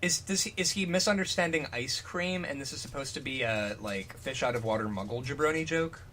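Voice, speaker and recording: A man's voice: medium pitch, brisk, sharp tone. A man doing a casual comedic reading with riffing banter, sweary and incredulous, often breaking up laughing. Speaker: co-host of a comedic dramatic reading.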